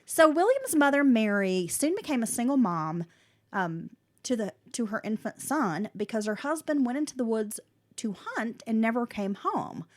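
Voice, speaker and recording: A woman's voice: on the high side, big pitch swings, normal speed. A woman, co-hosting a podcast and telling a history story in a casual way, with fillers and restarts. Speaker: podcast co-host. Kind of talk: casual chat and story narration.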